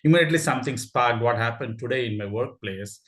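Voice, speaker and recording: A man with an Indian accent speaks eagerly on a video call, laughing often and stumbling into restarts as he talks. His voice sits at an average pitch and carries a noticeably roomy echo. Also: fast talker, a bit bright. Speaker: club member sharing a story. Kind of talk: casual meeting talk. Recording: echoey room.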